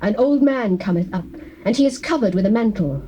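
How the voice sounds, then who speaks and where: Fearful woman medium, played by an actress: theatrical, archaic scripture drama, mellow tone with very animated pitch. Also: brisk, natural voice. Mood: awed. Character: fearful, accusing woman medium who summons spirits. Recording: noisy old cassette recording.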